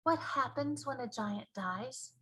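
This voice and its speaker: A woman voicing a polite, timid, questioning young girl in a children's storybook, speaking softly and hesitantly. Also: sits high, takes it slowly, heavily acted, poor audio. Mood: curious. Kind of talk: children's storybook read-aloud.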